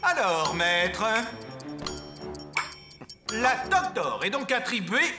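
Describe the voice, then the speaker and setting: Cartoon MC: grandiose contest announcer, very high, animated, milking suspense, echoey. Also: male voice, takes it slowly, over music, heavily acted. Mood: grand, ceremonial. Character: excitable, grandiose male cooking contest announcer.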